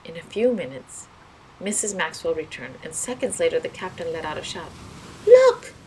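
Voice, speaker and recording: A woman's voice: deep voice, lively intonation, a little fast, slight background noise, low-quality recording. A woman reading a scripted children's story aloud as narrator. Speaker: storybook reader.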